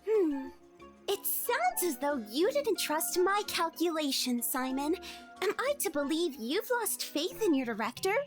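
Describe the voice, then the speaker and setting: A woman voicing a bossy, unfazed little-girl scientist in an audio drama, teasing and brisk. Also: extremely high pitch, sing-song, soft background music, heavily acted. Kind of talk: fan audio drama acting.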